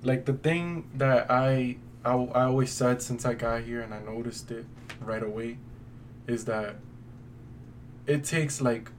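A man's voice: medium pitch, fairly even intonation, a little slow. New man chatting, slightly distant mic. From New York City. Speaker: podcast co-host. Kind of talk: casual conversational podcast chat. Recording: distant mic, faint background noise.

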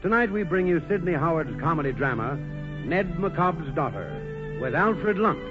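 A man's voice: on the high side, animated, moderate pace. A man announcing a programme in formal, stately, declamatory 1940s radio style. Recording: tinny vintage radio, music behind.

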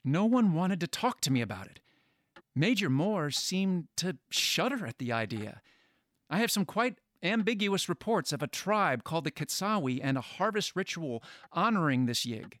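An actor playing an earnest, deferential young ethnographer in an old-time radio drama, polite and slightly hesitant. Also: male voice, fairly high pitch, sing-song, moderate pace, fairly bright tone, no room sound, studio quality. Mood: earnest, respectful. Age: young adult.

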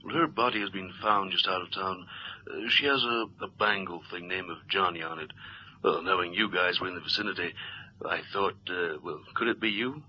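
An actor playing a halting, folksy small-town policeman who grumbles mildly, in an old radio drama. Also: low voice, close to an everyday voice. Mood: uneasy, probing. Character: hesitant, grumbling male small-town cop. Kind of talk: 1970s radio drama acting. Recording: muffled, noisy old radio drama recording.

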